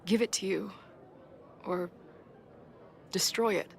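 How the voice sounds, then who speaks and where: An actress playing a guarded, tender woman torn over someone she loves, speaking in a halting, hushed way in a video game drama. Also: medium pitch, fairly even intonation, gravelly. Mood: reluctant, heavy.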